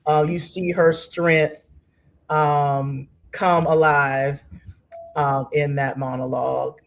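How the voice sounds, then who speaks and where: A woman's voice: deep voice, little pitch movement, slow pace. A woman answering as an interview guest, thoughtful and hesitant, searching for her words.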